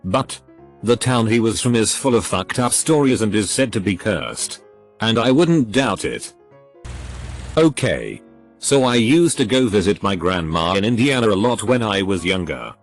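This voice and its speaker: A man with a fairly low voice reads stories aloud as scripted narration, his pitch rising and falling in a lively way. He spells abbreviations out letter by letter. Soft music plays faintly behind him. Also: measured pace. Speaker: narrator reading forum posts. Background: faint background music.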